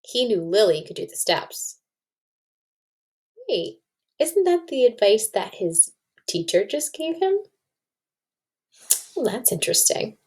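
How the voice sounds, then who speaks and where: A woman reading a storybook aloud to children and explaining it like a teacher, with questions to the listeners. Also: medium pitch.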